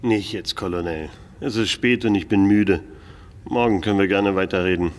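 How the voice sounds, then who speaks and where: An actor playing a weary, wary private detective in a radio play, speaking in a dry, terse, sceptical manner. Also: male voice, low-pitched, moderate pace, lightly acted. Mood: tired, sceptical. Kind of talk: German radio play acting with first-person narration.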